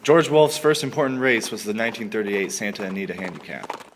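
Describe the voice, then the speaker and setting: Low-voiced male narrator in an echoey hall. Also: lively intonation, brisk. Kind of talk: scripted story narration. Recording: echoey hall, clear background noise.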